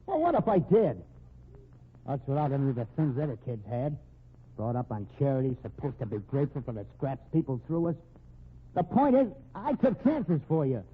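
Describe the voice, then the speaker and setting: An actor playing a desperate fugitive hoodlum in an old radio crime drama, talking tough, hard-bitten gangster slang. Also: male voice, mid-range voice, very animated, moderate pace, slight background noise, lightly acted. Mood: desperate pleading. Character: desperate fugitive brother, a small-time hoodlum. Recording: tinny, narrow old radio recording.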